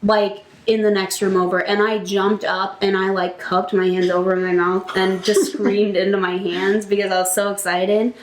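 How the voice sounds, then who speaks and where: A woman's voice: mid-range voice, flat delivery, moderate pace, hardly any pauses, echoey room, rough recording. A woman co-hosting a casual wrestling podcast, talking informally as a fan among friends. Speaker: podcast co-host.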